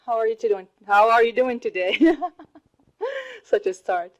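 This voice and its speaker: A woman in her thirties whose first language is Arabic, giving a slow, deliberate talk to a college audience and laughing often. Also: on the high side, huge pitch swings, dull audio. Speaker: student speaker. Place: college hall.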